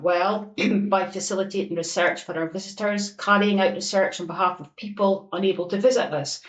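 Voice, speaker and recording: A woman's voice: mid-range voice, reverberant, poor audio. A woman from Aberdeen with a local Scottish accent, a librarian steadily explaining her team's work on a podcast. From Aberdeen, Scotland.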